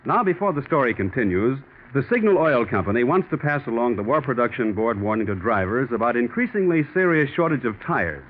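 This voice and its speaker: A man's voice: mid-range voice, sing-song, a little fast, hardly any pauses. A man delivering polished, emphatic sponsor announcements as a 1940s radio announcer. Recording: tinny, hissy 1940s radio broadcast.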